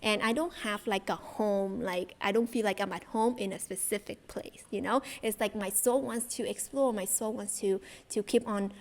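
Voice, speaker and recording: A woman's voice: mid-range voice, steady tone, normal speed, hardly any pauses. A young woman from Vietnam, chatting enthusiastically to her viewers about spirituality, with plenty of fillers. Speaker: spiritual content creator. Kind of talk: casual chat to viewers.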